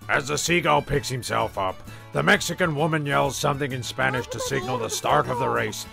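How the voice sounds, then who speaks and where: Man playing a gravelly, exasperated comedy narrator. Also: mid-range voice, fairly quick, music in the background. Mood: dry narrating. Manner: exasperated, sardonic. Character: irritable, foul-mouthed male narrator of an island farce. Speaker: narrator of a comedy audio series. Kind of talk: mock storybook narration with grumpy asides.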